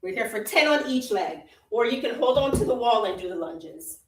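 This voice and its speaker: A woman's voice: fairly high pitch, expressive, normal speed. Jamaican woman coaching a workout, roomy. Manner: encouraging, repeats short cues, laughs easily. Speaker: fitness instructor. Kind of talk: live virtual workout coaching. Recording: roomy, distant from the mic.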